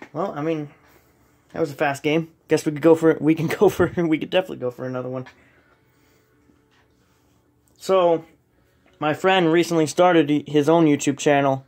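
A man's voice: fairly high pitch, normal speed, long pauses, darkish tone. A young man with a slight cold, casually commenting while he plays a game at home, rambling and self-deprecating with many ums. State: sniffly with a cold. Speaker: gaming channel host. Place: dark room at home, filming a TV screen.

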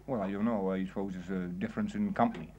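A man's voice: deep voice, steady tone, fast. A middle-aged man, a pub landlord, answers hesitantly and diplomatically, often stumbling over his words. The old film sound is dull and lo-fi, with faint hiss. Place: inside a village pub bar.

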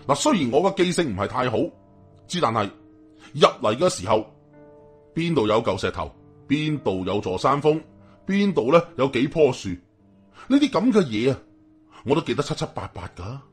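A man's voice: medium pitch, big pitch swings, normal speed, long pauses, breathy edge, faint music behind. A man with a Hong Kong Cantonese accent telling a story in a colloquial, suspenseful, dramatic narration.

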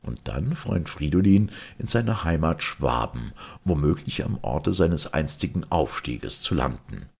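Male audiobook narrator, very low pitch, husky, expressive intonation, literary storytelling. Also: a little slow, hardly any pauses. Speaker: audiobook reader. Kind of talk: scripted literary narration of a vintage science fiction story.

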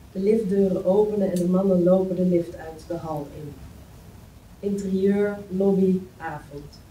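Female table-read narrator, mellow delivery of scene descriptions, distant mic with faint noise. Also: lowish voice, reverberant. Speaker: script reader of stage directions. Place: meeting room, indoors. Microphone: far camera.

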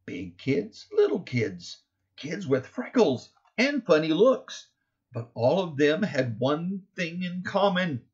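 A middle-aged man reads a children's storybook in a fairly high voice with very lively pitch. He is deliberate and expressive, leaning on key words in the rhyme. The home recording is slightly dull. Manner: deliberate, rhyming read-aloud with stressed key words. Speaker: author reading his own picture book.

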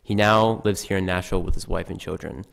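A teenage boy reading a prepared speaker introduction at a school lectern, steady with small slips. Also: low voice, fast talker, gravelly. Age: teens. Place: school auditorium stage at a lectern. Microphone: podium mic.

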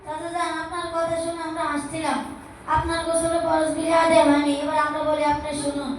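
A high-voiced performer playing a polite, knowledgeable madrasa pupil in an amateur educational skit, reciting deliberately from memory. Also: little pitch movement. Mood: instructive. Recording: distant, very echoey, faint noise.

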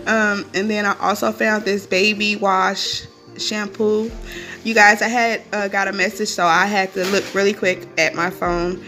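A woman's voice: medium pitch, little pitch movement, a little slow, pushed and bright, faint music behind. A young woman chatting casually to her viewers while showing a grocery haul in her home kitchen, upbeat and full of "um" and "you guys".

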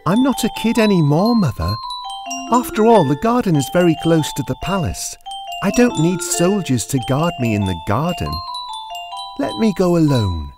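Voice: mid-range voice, big pitch swings, moderate pace, over music, lightly acted. An actor voicing an arrogant, curious young boy prince in an exclamatory cartoon story style. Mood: insistent. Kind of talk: children's cartoon story acting.

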